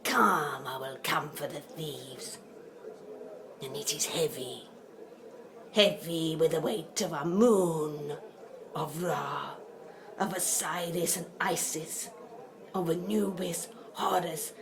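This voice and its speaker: A woman's voice: sits low, slow pace, background noise. A woman playing an ominous, mysterious prophesier of doom in an audio drama, solemn and incantatory. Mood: ominous, foreboding.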